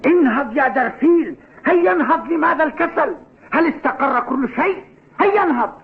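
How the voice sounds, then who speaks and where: An actor playing a confident, defiant young football player in a cartoon dub, with a cocky, assured manner. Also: male voice, very high voice, lively intonation, a little fast, slight background noise. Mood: impatient. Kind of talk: Arabic cartoon dub acting. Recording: tinny, band-limited TV dub.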